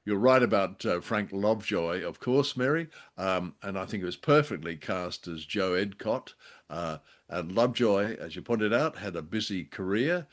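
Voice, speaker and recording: A man co-hosting a podcast, reviewing radio drama in a halting, measured way with dry understatement. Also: low-pitched, lively intonation. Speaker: podcast co-host.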